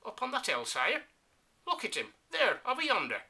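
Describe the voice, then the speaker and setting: A man doing a shrill lieutenant. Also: moderate pace, husky, exaggerated voice. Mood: excited. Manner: shrill, excited pointing and urging. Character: portly smelly male army lieutenant.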